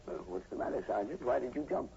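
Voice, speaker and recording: An actor playing a polite, earnest professor with a measured, scholarly manner in a 1940s radio horror drama. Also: male voice, mid-range voice, very animated, creaky, warm tone. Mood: grave, explanatory. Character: polite, earnest male professor investigating werewolves. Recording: muffled, noisy 1940s radio recording.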